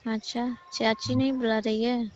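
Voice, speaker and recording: A woman's voice: fairly high pitch, little pitch movement, measured pace, darkish tone, dull audio. A woman chatting casually in a private phone conversation, recorded from the call.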